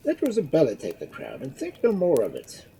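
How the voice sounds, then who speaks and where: A woman's voice: sits low, huge pitch swings, brisk, gravelly, mellow, a little hiss or hum, pitched above the performer's own voice, full character voice. Woman reader as a genial older duke, hearty and reassuring, storybook. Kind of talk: storybook reading.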